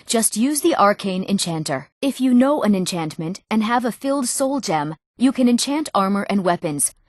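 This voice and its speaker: A woman's voice: mid-range voice, expressive, normal speed, no echo, studio quality, lightly acted. An actress playing an earnest, eager young woman in a fantasy video game. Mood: instructive. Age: young adult. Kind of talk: video game character dialogue.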